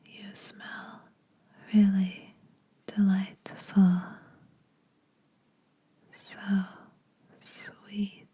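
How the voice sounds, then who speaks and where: A woman voicing a teasing, predatory yet protective female vampire in a breathy whispered ASMR roleplay, speaking slowly and a little formally. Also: mid-range voice, little pitch movement, dark tone, exaggerated voice. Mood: seductive, predatory. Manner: slow, deliberate, faintly formal teasing.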